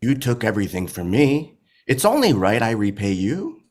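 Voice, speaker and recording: A man's voice: fairly low pitch, very animated, normal speed, a little mellow. An actor playing a deranged, defiant male villain in a screenplay table read, menacing and taunting. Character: deranged, defiant, unkillable male villain, bearded castaway madman.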